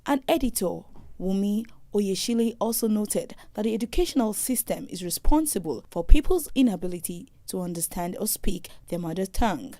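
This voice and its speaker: A woman narrating a scripted news report in a measured, formal way. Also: mid-range voice, animated, warm tone, no echo. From Nigeria.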